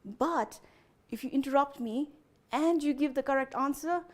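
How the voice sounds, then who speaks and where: A young adult woman hosts a quiz, laying out the rounds and scoring for the teams. Her voice sits a little high. She talks casually, sprinkling in "you know" and stopping to ask whether everyone is clear. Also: normal speed. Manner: chatty, frequent "you know" fillers, checks understanding with "okay?". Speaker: quizmaster. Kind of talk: explaining quiz rules to contestants.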